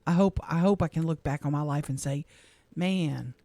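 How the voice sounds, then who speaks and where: A middle-aged woman from Louisiana, a radio co-host, speaking softly and with emotion as she reads a personal letter on air in a studio. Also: deep voice, moderate pace, no echo. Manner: heartfelt, soft-spoken, emotional.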